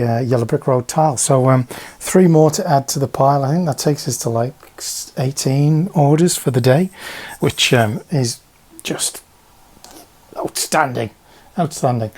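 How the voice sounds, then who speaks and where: A man's voice: medium pitch, a little mellow, husky. A man chatting casually while packing orders, rambling and thinking aloud with lots of fillers. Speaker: online parts seller. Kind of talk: casual behind-the-scenes vlog chat.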